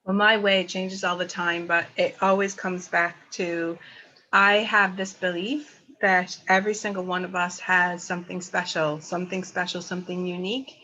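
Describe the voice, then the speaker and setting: A woman's voice: lowish voice, flat delivery, normal speed, bright, forceful tone, highs cut off, slight background noise. A woman from Ireland, a podcast guest chatting in a rambling, laughing way. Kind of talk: casual chat.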